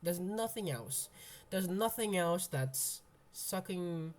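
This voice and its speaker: Slow, halting young man. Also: high voice, lively intonation. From Indonesia. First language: Indonesian. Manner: hesitant, halting, full of ums and restarts; soft, searching, introspective. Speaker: college freshman. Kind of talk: personal venting monologue.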